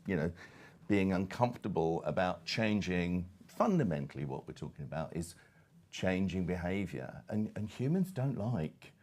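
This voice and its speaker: A middle-aged man speaking as a panel expert in a conversational, discursive way, halting and then stressing his points. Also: sits low, lively intonation, a little mellow.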